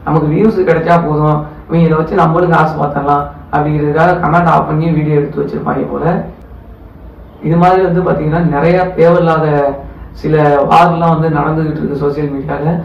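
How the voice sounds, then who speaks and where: A man delivers casual, critical commentary at a quick clip. His voice is gravelly and fairly high. The recording is distant and echoey. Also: flat delivery. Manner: colloquial, conversational, critical. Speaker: commentary video host. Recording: distant, echoey, dull with faint noise.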